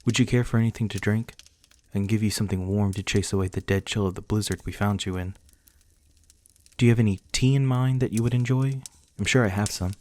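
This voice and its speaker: A man playing a reclusive, protective vampire lord in an ASMR roleplay, speaking formally and courteously in measured words. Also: on the low side, steady tone, darkish tone, close to an everyday voice. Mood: courteous, soothing. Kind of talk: ASMR roleplay acting, addressing the listener.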